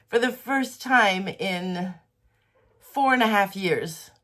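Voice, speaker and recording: A woman vlogger with a head cold, sounding congested as she chats casually and rambles about her day. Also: medium pitch. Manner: chatty, rambling, soft-spoken. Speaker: quilting vlogger. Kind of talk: casual daily vlog chat.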